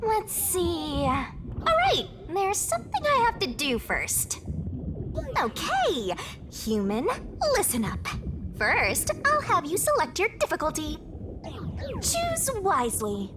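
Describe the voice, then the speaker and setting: A woman's voice: extremely high pitch, huge pitch swings, slow, noisy background, heavily acted. A woman voicing a cutesy, mischievous little spirit creature in a video game, teasing, bossy and playfully menacing. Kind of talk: animated video game character acting.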